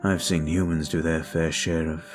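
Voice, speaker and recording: A man voicing a brooding male vampire in an ASMR roleplay, talking in a slow, intimate, confiding way. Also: a bass voice, little pitch movement, faint music behind.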